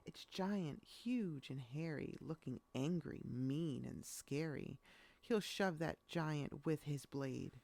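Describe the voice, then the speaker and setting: A woman reading a rhyming children's picture book aloud in an expressive storytelling style. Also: sits low, takes it slowly, gravelly.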